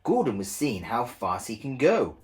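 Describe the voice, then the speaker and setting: A woman's voice: extremely low pitch, big pitch swings, normal speed, darkish tone. A woman reading a children's story aloud as a scripted narration.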